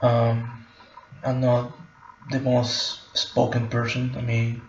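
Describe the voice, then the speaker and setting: A man talks to his camera, groping for words and circling back over his phrases. His voice is gravelly and keeps to a narrow pitch. The recording is muffled and echoey, like a bare room at home. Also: completely flat delivery, slight background noise. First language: Spanish. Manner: halting, many fillers and restarts. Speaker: vlogger. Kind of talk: personal reflective monologue.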